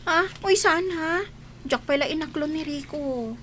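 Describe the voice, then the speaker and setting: A woman's voice: high voice, measured pace, fairly bright tone, a little hiss or hum. A young woman playing an earnest, cautious single woman being courted in an Ilocano radio drama, with an Ilocano accent. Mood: earnest, hesitant.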